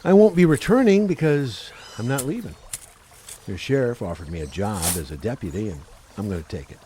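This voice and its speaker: A man's voice: mid-range voice, sing-song, fairly quick, mellow, slight background noise, lightly acted. A man playing a tough, unyielding homicide cop with a wry streak in a radio play, blunt and authoritative. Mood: wry, relaxed.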